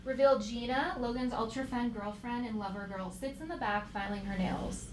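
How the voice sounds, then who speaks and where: A woman's voice: fairly high pitch, moderate pace. A woman reading stage directions as scripted narration at a live comedy table read. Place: live theater. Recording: echoey theater, clear background noise.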